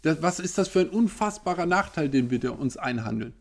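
A man in his thirties ranting in a casual, animated way, firing off rhetorical questions. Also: on the high side, brisk. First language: German. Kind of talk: casual opinion monologue.

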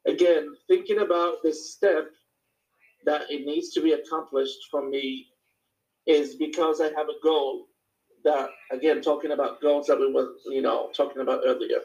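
Man, Moroccan accent, somewhat high pitch, informal personal talk, reflective, explanatory, hesitant pauses. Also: fairly even intonation, a little mellow, low-quality recording. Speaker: speaker sharing personal experience.

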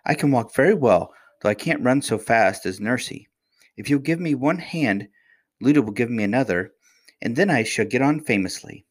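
A man's voice: medium pitch, expressive, normal speed, pitched above the performer's own voice, lightly acted. A man voicing an affectionate little princess in a storybook, a young girl with an earnest, polite, childlike manner.

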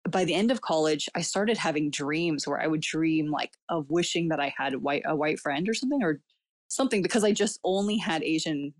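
Low-voiced reflective woman, dry sound. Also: brisk, no echo. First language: Cantonese. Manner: reflective, many fillers, laughs often. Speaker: guest. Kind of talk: casual personal interview talk.

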